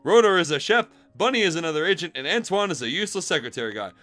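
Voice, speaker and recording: A man voicing an excitable young fox secret agent who explains things eagerly and emphatically. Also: fairly high pitch, very fast, faint music behind. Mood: excited, explaining.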